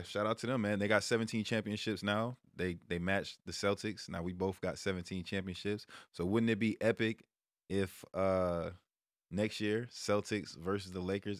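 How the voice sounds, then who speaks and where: Deep-voiced American man, casual sports talk. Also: no echo, clean studio sound. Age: 30s. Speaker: streamer and sports vlog host. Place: small room at home, indoors. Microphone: close microphone on a stand, headset on.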